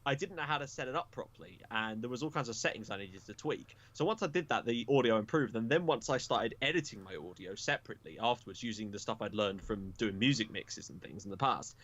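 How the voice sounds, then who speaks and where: A man's voice: on the low side, lively intonation, fast, few pauses, fairly bright tone, muffled recording. A young man chatting frankly as a podcast guest, self-deprecating and swearing casually. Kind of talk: casual chat.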